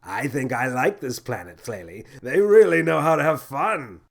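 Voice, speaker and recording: A mad space captain for a children's space adventure. He is theatrical and exclamatory, lurching between menace and gleeful wonder. His pitch leaps all over the place. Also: lively intonation, normal speed, vocal fry. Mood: curious glee.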